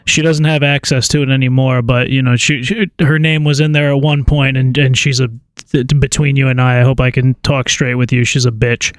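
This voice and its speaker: A man chats casually on a phone call in a smooth, clear voice with little range in pitch. He stammers and hesitates, informal throughout, and the sound is dry. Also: little pitch movement, fairly quick, few pauses, no echo. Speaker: prank caller.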